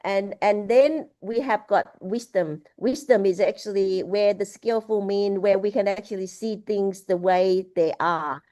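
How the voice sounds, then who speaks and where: A woman from Laos giving a casual spoken Buddhist teaching over a video call, halting, with many restarts and fillers. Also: medium pitch, warm tone, dry recording, clean studio sound. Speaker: Buddhist speaker.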